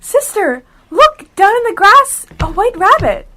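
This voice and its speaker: A young female performer playing a curious, excitable little girl in an amateur radio play. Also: extremely high pitch, measured pace, heavily acted. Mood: curious, excited.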